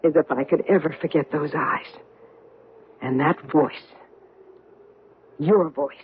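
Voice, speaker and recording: An actress in an old-time radio drama playing a vengeful survivor confronting her enemy with deliberate, accusing intensity. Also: sits low, slight background noise. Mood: accusing, stern. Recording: muffled vintage radio recording.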